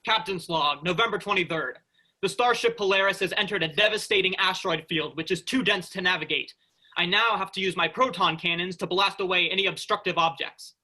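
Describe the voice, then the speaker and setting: Guy playing sarcastic restless space crewman, really bright sharp voice, pitched high, informal, sci-fi play. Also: a little fast, heavily acted. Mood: playful, grandiose. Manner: informal, exasperated. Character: restless, sarcastic young male space freighter worker. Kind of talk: virtual staged reading of a sci-fi play.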